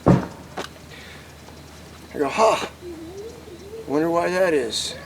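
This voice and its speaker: An American man giving a casual, rambling hands-on demonstration to camera, informal and thinking out loud as he goes. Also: fairly high pitch, very slow, gravelly, heavy background noise. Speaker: hobbyist vlogger demonstrating CB radio gear.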